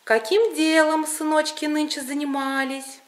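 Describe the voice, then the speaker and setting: A woman's voice: high-pitched, level tone, unhurried, voice pushed up. A woman voicing a stern but kindly peasant father in a folk tale, with an emphatic, folksy, questioning manner. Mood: probing.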